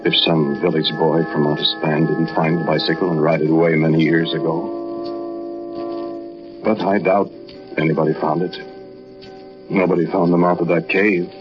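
Very deep-voiced haunted 1940s radio storyteller. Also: male voice, level tone, moderate pace, pauses often, muffled recording. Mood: brooding. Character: haunted man recounting an eerie tale. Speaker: narrator of a radio drama. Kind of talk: 1940s radio drama narration. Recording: band-limited 1940s radio recording, music behind.

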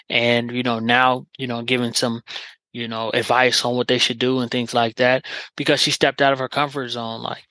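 Earnest man hosting, bright flat voice. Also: mid-range voice, no room sound. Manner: earnest, encouraging, many fillers and restarts. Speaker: podcast host. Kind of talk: casual interview chat.

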